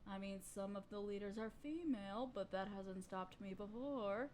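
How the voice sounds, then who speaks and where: A woman's voice: mid-range voice, normal speed, a little mellow, a little hiss or hum, natural voice. A woman playing a vain, domineering evil sorceress queen in a fantasy audio roleplay, speaking in an imperious, theatrical drawl. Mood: impatient, haughty.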